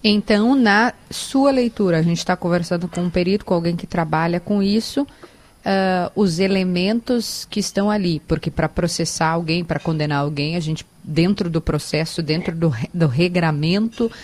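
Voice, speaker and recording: Low-voiced woman co-host. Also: normal speed, a little mellow. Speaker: radio co-host. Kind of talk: journalistic interview, recapping for listeners.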